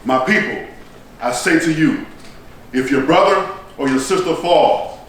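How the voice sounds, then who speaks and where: A man performing a theatrical monologue like a rousing speech, solemn and emphatic, with deliberate dramatic pauses. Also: fairly high pitch, animated, a bit bright. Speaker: stage performer delivering a monologue. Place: echoey performance space, recorded from a distance. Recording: roomy echoing hall, distant mic, faint noise.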